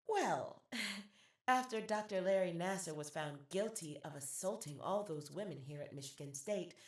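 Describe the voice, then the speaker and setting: A woman's voice: mid-range voice, no room sound. A young woman with an American accent acting a savvy theater student in an audio play, sardonic and theatrical as she talks to the audience. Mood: polished, performing. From Detroit, United States. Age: young adult. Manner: theatrical, sardonic, swinging between confiding and performing. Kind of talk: dramatic monologue to the audience.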